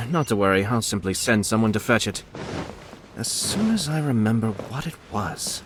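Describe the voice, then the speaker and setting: A man's voice: on the low side, big pitch swings, moderate pace, background noise. An actor playing an absent-minded male official in a game, musing unhurriedly to himself. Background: game music.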